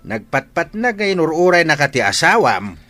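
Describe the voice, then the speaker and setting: A man's voice: on the high side, big pitch swings, moderate pace, sharp tone, no room sound, soft background music. A man playing a stern older father-in-law who scolds, in an audio drama. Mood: reproachful.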